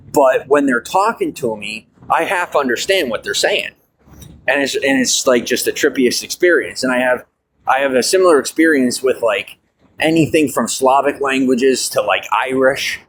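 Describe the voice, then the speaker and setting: A man's voice: mid-range voice, a bit bright, slight background noise, rough recording. An American man with a slight Norwegian-tinged accent, rambling casually with many fillers and restarts. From United States. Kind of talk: casual monologue.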